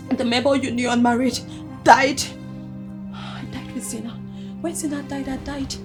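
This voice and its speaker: A woman's voice: high-pitched, a bit bright. An actress playing an anguished, defiant grieving mother with a Nigerian accent in a film drama, pleading and repeating herself. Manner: pleading, repetitive, emotional. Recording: music playing behind the voice.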